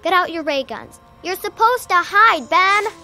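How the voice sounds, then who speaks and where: A woman's voice: very high voice, expressive, a little slow, pushed and bright, soft background music, exaggerated voice. A performer playing a spirited eleven-year-old girl in a radio drama, eager and bossy.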